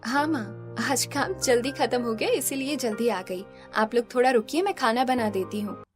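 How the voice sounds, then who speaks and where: A woman's voice: a little high, normal speed, soft background music, lightly acted. A woman voicing a determined, ambitious young woman in a cartoon, earnest and often protesting. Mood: dutiful.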